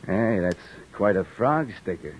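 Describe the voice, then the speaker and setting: An actor playing a weary, wry beat cop in a 1950s radio drama, talking in dry streetwise wisecracks. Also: male voice, fairly low pitch, expressive, unhurried, highs cut off, slight background noise. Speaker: police officer narrating.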